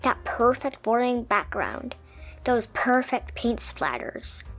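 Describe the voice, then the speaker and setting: A woman reading a children's picture book aloud expressively, with dramatic, emphatic pauses. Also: a little high, sing-song, a bit bright. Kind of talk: expressive children's storybook narration. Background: music.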